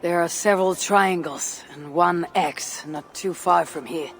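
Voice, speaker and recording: A woman's voice: on the low side, unhurried. An actress playing a proud, blunt Viking woman warrior with a Scandinavian accent in a video game cutscene. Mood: curt, calm. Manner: terse, blunt, dryly scornful.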